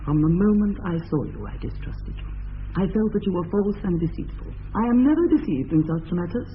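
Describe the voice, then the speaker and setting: A woman's voice: lowish voice, animated, normal speed, close to an everyday voice. An actress playing a proud, imperious young society lady in a classic radio comedy. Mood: haughty. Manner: grand, formal, cutting. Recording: tinny lo-fi radio broadcast with hiss.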